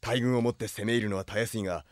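Casting: male actor as grave exiled prince, low, close dry sound, measured and lordly, anime radio drama. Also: fairly even intonation, no echo, close to an everyday voice. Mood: grave, resolute. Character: grave exiled prince leading a rebel army. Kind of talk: anime audio drama acting.

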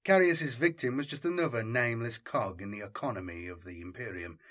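A man narrating a scripted story as an audiobook, in a measured, solemn and dramatic way. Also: medium pitch, fairly bright tone.

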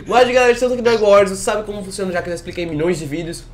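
A young man from Brazil hosting a gaming video, joking around quickly while he has a cold. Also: sits high, slight background noise. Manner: jokey, quick back-and-forth. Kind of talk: playful gaming banter.

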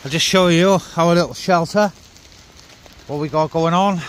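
Higher-pitched man vlogging outdoors over noise. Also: normal speed. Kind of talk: casual vlog chat to viewers. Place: outdoors in a wet forest camp. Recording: outdoor camera with clear background noise.

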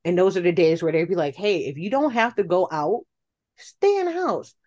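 A woman's voice: fairly low pitch, lively intonation, moderate pace, mellow, highs cut off. An American woman in casual chat as a podcast guest, telling stories and acting out reactions.

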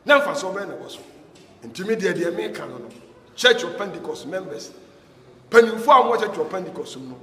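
A man's voice: high-pitched, big pitch swings, fairly quick. A middle-aged man, a pastor, delivering a fervent sermon-style warning inside a church hall. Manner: fervent, preaching with raised hands. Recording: echoey hall with long reverb.

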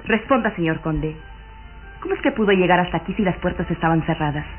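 A woman's voice: lowish voice, quick pace, natural voice. Actress as anxious young noblewoman, thin radio sound. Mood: suspicious insistence. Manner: formal, emphatic questioning. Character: beautiful young duke's daughter, anxious and insistent. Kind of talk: vintage radio drama acting. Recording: phone-like vintage radio recording, music behind.

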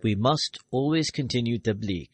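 A man giving the English voice-over of a sermon, reading it formally in measured, phrase-by-phrase delivery. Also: medium pitch, slightly rough. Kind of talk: formal read translation of a sermon.

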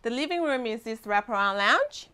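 Young female vlog host, fairly high voice, casual guided-tour delivery, recorded in a compact boat cabin. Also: expressive, normal speed, sharp tone, clear, resonant tone. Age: young adult. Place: inside a small sailboat cabin.

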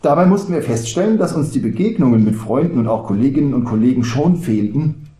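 A man's voice: medium pitch, expressive, fast talker, warm tone, roomy sound, faint music behind, close to an everyday voice. A man playing an easygoing, whimsical teacher with a droll manner in a cabaret audio play. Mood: chatty.

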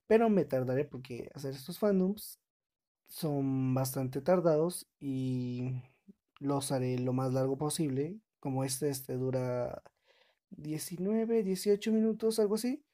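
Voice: mid-range voice, dark tone. A fan dub creator giving a casual, rambling, informal introduction as host.

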